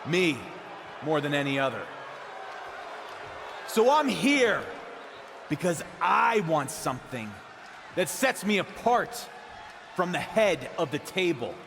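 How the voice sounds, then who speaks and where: Cocky wrestling champion, slow and high-pitched. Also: male voice, a bit bright, full character voice. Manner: emphatic, halting phrases for effect. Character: cocky champion pro wrestler cutting a promo. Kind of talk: wrestling promo on the microphone. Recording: echoey arena PA with crowd noise.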